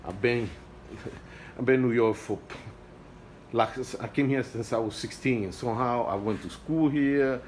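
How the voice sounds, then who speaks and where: A man with a non-native English accent and a middle-pitched voice talks through his home setup in a halting, repetitive way, restarting phrases and sounding earnest. He records on a phone he holds while filming, and a faint hum sits behind him. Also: low-quality recording. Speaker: hobbyist vlogger. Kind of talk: casual home video walkthrough. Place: home, beside an aquarium indoors. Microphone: phone held by the speaker while filming.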